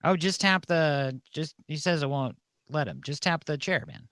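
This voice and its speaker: A man's voice: a little high, moderate pace, fairly bright tone, no room sound, clean studio sound. A man casually hosting a comedic ceremony on a livestream. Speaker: host of a comedic mock-church livestream.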